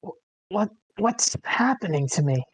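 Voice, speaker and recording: A man playing an infected widower in a screenplay table read, dreamy and hopeful, with a halting delivery. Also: high voice, sing-song, husky, exaggerated voice, low-quality recording. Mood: frightened pleading. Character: infected widower, dreamy, clinging to hope, weakening.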